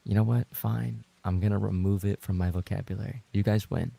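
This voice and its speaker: A man chatting casually as a livestreamer, rambling and trading playful banter with his viewers, in a gravelly, husky voice. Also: on the low side, fairly even intonation, fairly quick, mellow. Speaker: livestreamer and ASMR voice actor reading chat.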